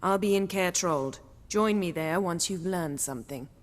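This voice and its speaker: An actress playing a composed, assured sorceress in a video game, speaking with a slightly wry edge. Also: female voice, lowish voice, normal speed, big-room sound, lightly acted. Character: poised, commanding sorceress, adult woman.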